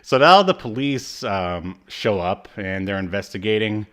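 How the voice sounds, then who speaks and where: A man's voice: lowish voice, lively intonation, measured pace, a bit bright, clear, resonant tone. A man co-hosting a podcast, chatting casually through a recap with rambling, jokey asides. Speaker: podcast co-host. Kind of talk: casual chat, recapping a TV episode.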